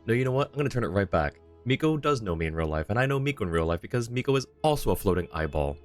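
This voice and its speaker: A man's voice: fairly low pitch, big pitch swings, very fast, soft background music. A man doing casual live game commentary as a streamer, playful and mock-dramatic as he chats to viewers.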